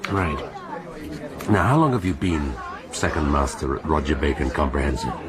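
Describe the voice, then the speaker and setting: A man's voice: rumbling low, lively intonation, moderate pace, crowd noise. An actor playing a brusque, probing and sardonic male police inspector.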